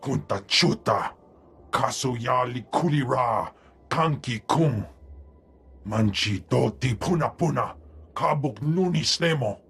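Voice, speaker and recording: An actor voicing a stern, husky alien master in a video game, talking in an invented alien tongue. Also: male voice, medium pitch, animated, slow pace, a bit bright, full character voice. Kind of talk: video game creature dialogue.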